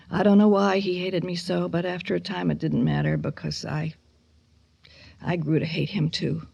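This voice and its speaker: A bitter, haunted mother in a radio play. Her middle-aged voice is creaky and close in a dry space, halting and heavy with old resentment and dread. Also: medium pitch, mellow, no echo. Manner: halting, breaking into whispers and cries. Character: bitter, haunted mother of a son she fears. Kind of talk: dramatic radio monologue acting.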